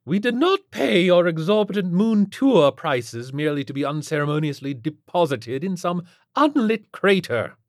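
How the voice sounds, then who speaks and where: A man voicing a haughty, demanding rich matron in an audiobook story, loud, imperious and formal. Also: fairly high pitch, very animated, moderate pace, no echo, clean studio sound, voice pushed up. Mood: indignant.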